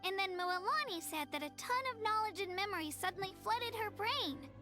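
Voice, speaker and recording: A woman voicing a tiny, eager, childlike female fairy companion in an anime video game, with a squeaky, perky delivery. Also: expressive, fairly quick. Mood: explaining. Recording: music playing behind.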